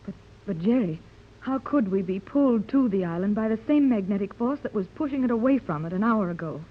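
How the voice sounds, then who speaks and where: A woman's voice: mid-range voice, expressive, brisk, close to an everyday voice. An actress playing an anxious but determined well-to-do mother in a 1930s radio serial, urgent and questioning in a theatrical way. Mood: puzzled. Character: anxious, determined wealthy mother searching for her daughter. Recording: muffled, noisy 1930s radio recording.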